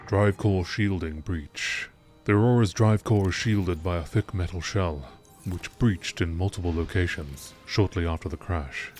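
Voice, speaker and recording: A man's voice: deep voice, a little slow, hardly any pauses, darkish tone, faint music behind. A man reading scripted text as a narrator, in the style of a nature documentary. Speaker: narrator reading game lore entries.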